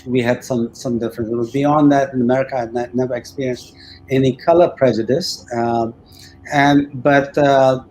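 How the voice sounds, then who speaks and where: A man who grew up in India, speaking hesitantly with frequent pauses as a participant in a panel discussion. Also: mid-range voice, fairly even intonation, darkish tone, a little hiss or hum, rough recording. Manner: hesitant, with many "uh" fillers and restarts. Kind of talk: personal reflection in a panel discussion.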